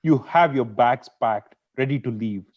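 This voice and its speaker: A man's voice: medium pitch, a little slow, natural voice. A man playing an aggrieved, sulky husband in an amateur script table read, over a dull lo-fi call.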